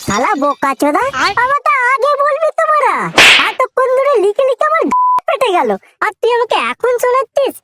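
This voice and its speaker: Cartoon schoolboy, very high squeaky pitch, swooping intonation, brash, slangy, cocky schemer. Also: very animated, fairly quick, very noisy. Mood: annoyed. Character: cocky, foul-mouthed teenage schoolboy scheming to cheat in exams. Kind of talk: comic cartoon dialogue. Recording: music behind the voice.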